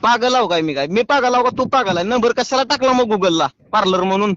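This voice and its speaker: A man's voice: sits high, fairly quick, fairly bright tone. A man with a rural Marathi accent making a prank phone call, pleading and persistent in a rustic way. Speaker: prank caller and show host. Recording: dull lo-fi phone call audio.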